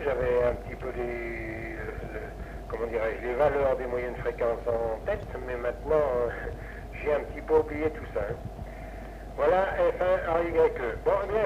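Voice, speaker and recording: Muffled man on noisy ham radio. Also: mid-range voice. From France. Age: 50s. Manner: rambling, hesitant, chuckling asides. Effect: radio transmission. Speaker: amateur radio operator. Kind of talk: casual ham radio contact. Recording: noisy, muffled 1960s amateur radio recording.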